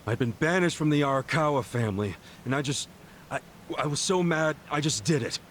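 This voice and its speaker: A brash hot-tempered ex-con. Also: male voice, a little high, expressive, brisk, fairly bright tone, slight background noise. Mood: grim. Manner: gruff, curt, defensive. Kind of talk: dubbed game cutscene acting.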